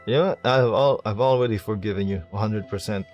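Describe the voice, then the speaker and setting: A man's voice: on the low side, a little fast, a little mellow, highs cut off. A man giving casual gameplay reactions, joking and self-deprecating, often stammering and exclaiming as he goes. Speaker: video game streamer. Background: game music and dialogue.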